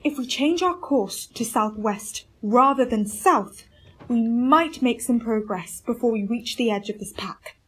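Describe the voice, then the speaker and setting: A woman's voice: fairly high pitch, a little slow, fairly bright tone, breathy edge, rough recording. A woman playing a firm, authoritative expedition captain in a radio play, both giving orders and reading out a log. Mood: measured, firm. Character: commanding expedition ship captain keeping a log.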